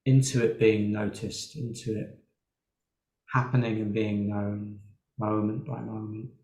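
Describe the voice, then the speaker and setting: Slow, low-voiced man guiding meditation. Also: level tone, dark tone. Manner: unhurried, with long pauses. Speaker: meditation teacher. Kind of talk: guided meditation. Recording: roomy, echoing sound.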